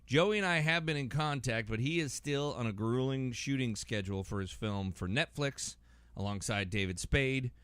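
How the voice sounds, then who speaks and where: A man's voice: mid-range voice, moderate pace, fairly bright tone, smooth, no room sound. An American man in his forties chatting casually and jokily as a podcast host, often rambling and interrupting himself. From Massachusetts, United States. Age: 40s. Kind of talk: casual, joking podcast chat.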